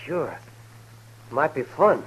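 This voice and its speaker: A man's voice: fairly high pitch, very animated, takes it slowly, a little hiss or hum, poor audio. A man playing a curt, callous western outlaw henchman in an old-time radio drama, offhand and clipped. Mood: callous, wary.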